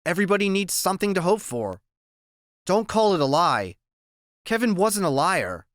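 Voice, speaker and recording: A man voicing a gentle, weary woman doctor who speaks in a soft, earnest, consoling way. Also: high voice, very animated, normal speed, no room sound, clean studio sound, pitched above the performer's own voice.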